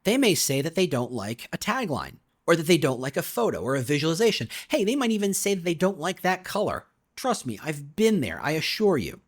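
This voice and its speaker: A man reading a conversational, scripted explainer in an emphatic way, often putting questions directly to the listener. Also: a little high. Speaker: author reading own business audiobook.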